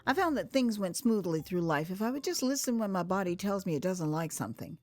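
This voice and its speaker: An American woman narrating her own memoir as a dramatized first-person story, conversational and expressive, acting out moments as she goes. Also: mid-range voice, brisk, no room sound. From United States. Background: music.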